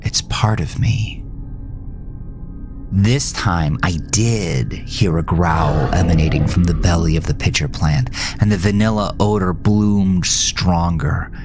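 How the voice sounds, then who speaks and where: A man narrating a scripted first-person horror story in a brooding, deliberate, dramatic manner. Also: low voice. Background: music.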